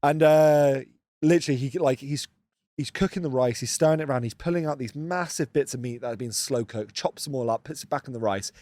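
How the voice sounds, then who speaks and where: A man co-hosting a podcast, telling stories in relaxed, slangy chat that often trails off softly. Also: medium pitch, a little fast, no echo. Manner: laid-back, slangy storytelling, often trailing off quietly. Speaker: podcast co-host. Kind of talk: casual chat.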